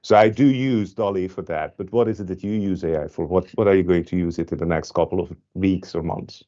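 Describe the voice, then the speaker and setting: A man's voice: deep voice, brisk, dark tone, muffled recording. A man moderating a round table discussion, asking curious, open questions.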